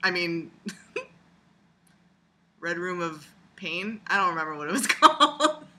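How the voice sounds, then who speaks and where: A woman giving casual, rambling video commentary in an animated, sarcastic way, with crude humor and frequent swearing. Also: fairly low pitch, slow pace, slight background noise. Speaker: video blogger.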